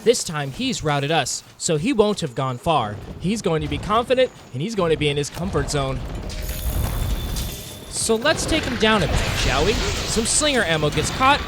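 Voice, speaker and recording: A man's voice: fairly high pitch, sing-song, moderate pace, noisy background. A man telling the story of a video game hunt as a narrator, with dramatic, suspenseful phrasing. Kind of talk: dramatic storytelling narration over monster-hunting gameplay. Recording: music behind the voice.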